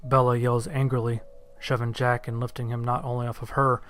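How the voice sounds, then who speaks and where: A man narrating a first-person horror story from a script in a measured, matter-of-fact way. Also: mid-range voice, level tone, a bit bright, soft background music.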